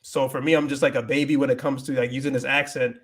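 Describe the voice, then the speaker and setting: A man's voice: mid-range voice, little pitch movement, quick pace. Young guy on a livestream, rambly, tons of "like", mid voice, switches to spanish w a dominican accent. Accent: Dominican Spanish accent when speaking Spanish. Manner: rambling, many restarts and "like" fillers, switches into Spanish. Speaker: livestream host answering viewer questions. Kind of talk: casual livestream chat.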